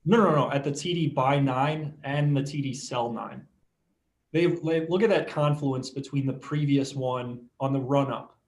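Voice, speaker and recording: Casual male market analyst, mid-pitched. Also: a little slow, a little mellow. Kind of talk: casual live chart commentary.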